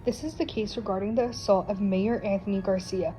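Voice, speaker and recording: A woman's voice: mid-range voice, fairly even intonation, brisk, lightly acted, low-quality recording. A woman playing a formal, stern courtroom judge in an amateur superhero radio play. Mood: formal, disbelieving. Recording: music behind the voice.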